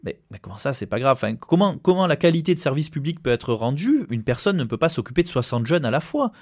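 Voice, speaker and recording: A man's voice: a little high, big pitch swings, fast, no room sound, clean studio sound. A man speaking as a union representative in an interview, arguing emphatically and often repeating words as he goes. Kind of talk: spoken interview, argumentative.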